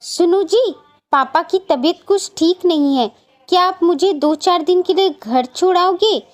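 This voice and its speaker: Casting: young woman for a cartoon story, extremely high, girlish pitch acted by a woman. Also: unhurried. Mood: sweet, fond. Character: young Indian woman, cartoon bride or sister. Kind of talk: Hindi cartoon story dialogue.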